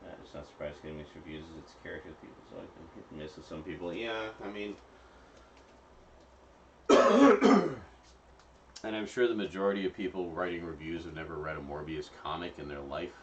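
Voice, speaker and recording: A man's voice: low voice, expressive, brisk, pauses often, far from the mic, highs cut off, background noise. A man cooking and cleaning in his home kitchen, chatting casually and rambling to viewers with lots of fillers. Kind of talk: casual live-stream chat.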